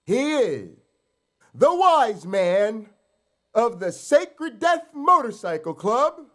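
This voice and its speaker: A man announcing the opening of a late-night show and introducing its host in a drawn-out, dramatic way. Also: very high voice, sing-song, a bit bright.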